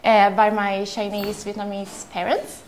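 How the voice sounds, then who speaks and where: A woman's voice: mid-range voice, little pitch movement, a little slow, echoey room, poor audio. A young woman with a Swedish accent, giving a nervous, chatty talk to a live audience and laughing often. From Sweden. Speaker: speaker. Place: room with audience.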